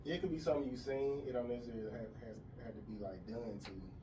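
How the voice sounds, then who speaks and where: A man's voice: medium pitch, expressive, fast. A man hosting a casual podcast interview, asking questions in a loose, rambling way. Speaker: podcast host. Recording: echoey room, voice far from mic, noise.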